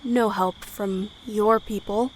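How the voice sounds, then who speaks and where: A woman acting a brave, polite but defiant high school girl in a period audio drama, with folksy speech. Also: steady tone, slow pace, slightly rough. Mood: wary, defiant. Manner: folksy dialect grammar, plucky. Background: crickets and night insects.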